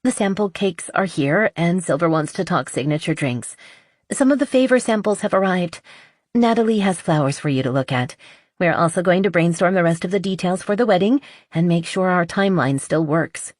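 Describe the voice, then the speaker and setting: A woman narrator acts out a young wedding planner from a romance audiobook. She is tired yet businesslike, and she runs through details in an organized, brisk way. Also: on the low side, animated, dry recording, clean studio sound, pitched above the performer's own voice.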